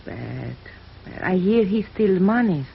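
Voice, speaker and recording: An actress playing a wary, soft-hearted immigrant landlady in a radio drama, speaking hesitant broken English with an Eastern European accent. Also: fairly low pitch, sing-song, slow, gravelly, muffled recording, exaggerated voice. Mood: wary, reluctant. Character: weary, wary immigrant landlady, married, soft-hearted about her tenant. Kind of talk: 1950s radio drama acting. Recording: old radio recording, faint noise.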